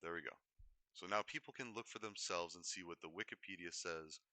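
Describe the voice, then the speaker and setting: A man's voice: low-pitched, fairly quick, bright, forceful tone, no echo. A man livestreaming in a rambling, unscripted way, often hesitating, digressing and correcting himself mid-thought. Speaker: livestream host.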